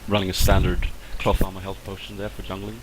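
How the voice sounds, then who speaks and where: Young man, Swedish accent, very low voice, game commentary, hesitant, fillers, audible noise and clicks. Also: monotone, fast. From Sweden. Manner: hesitant, lots of fillers and restarts. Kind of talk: casual video game commentary. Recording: clear background noise with clicks.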